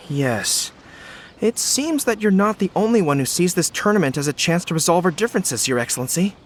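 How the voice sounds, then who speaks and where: An actor playing an earnest, respectful retainer in a video game, speaking formally and with deference. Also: male voice, high-pitched, sing-song, normal speed, a little hiss or hum. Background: music.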